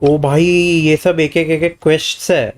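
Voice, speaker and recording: Male gaming streamer, casual host delivery, very quick, mid-pitched, faint music behind. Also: level tone. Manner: informal, mixes English into speech. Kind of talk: casual game-stream commentary. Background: faint game music.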